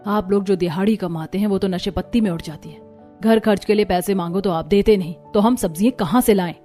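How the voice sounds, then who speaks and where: A woman's voice: mid-range voice, fairly quick, mellow, soft background music, close to an everyday voice. A woman voicing a hard-pressed young village daughter-in-law in a cartoon, plaintive and respectful. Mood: defensive.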